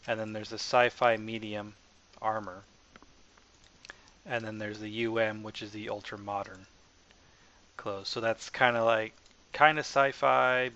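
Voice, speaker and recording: A man narrating a casual software tutorial as the developer, informal and hesitant, as if thinking aloud. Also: fairly low pitch. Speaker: software developer presenting own asset package. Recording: dull lo-fi mic with faint background noise.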